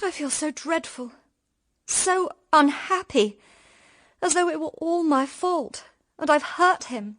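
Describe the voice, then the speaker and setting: Casting: childlike, romantic young British woman for period radio drama. High, very lively, earnest voice. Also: slow, no room sound, clean studio sound, exaggerated voice. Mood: distressed.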